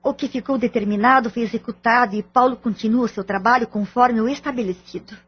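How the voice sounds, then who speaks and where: Anxious Brazilian mother played by a woman, formal audio-drama delivery, slightly husky, dull lo-fi sound. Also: a little high, steady tone, a little fast. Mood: worried, confiding. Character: Idalina, anxious polite wife and mother. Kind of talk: audio-novel acting, formal scripted dialogue.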